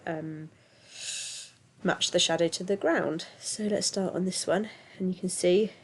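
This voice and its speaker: A woman, her voice thick and stuffy from a cold with a gravelly edge. She talks through a software tutorial in a chatty, easygoing way, full of ums and little self-deprecating asides. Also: fairly low pitch. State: congested from a cold. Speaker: tutorial host. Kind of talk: casual screen-recorded software tutorial.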